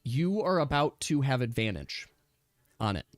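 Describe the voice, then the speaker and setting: A man acting as game master, casually narrating a tabletop game in a halting, thinking-aloud manner. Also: mid-range voice, very animated, no echo. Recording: dry close mic with music behind. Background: music.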